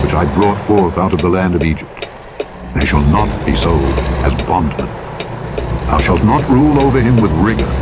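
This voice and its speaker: A man with a low voice narrates scripture in a solemn, formal register. His delivery is measured and declamatory. Loud truck engine and road noise runs behind him all the time. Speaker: Bible narrator. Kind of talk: solemn scripture reading from the King James Bible. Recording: loud vehicle engine noise over audiobook playback. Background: truck simulator engine and road noise.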